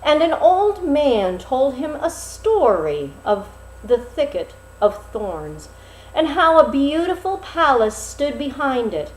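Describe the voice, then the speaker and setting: A woman narrates a storybook tale in a fairly high voice. She takes her time, phrasing each part deliberately with dramatic pauses. The recording is roomy and echoing. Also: a little hiss or hum. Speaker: storyteller reading a fairy tale aloud.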